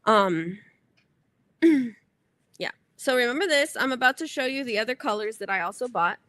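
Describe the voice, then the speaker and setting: A woman's voice: fairly high pitch, animated, moderate pace, fairly bright tone, no room sound. A woman hosting a crochet livestream, chatting casually and rambling as she corrects herself. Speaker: crochet channel host.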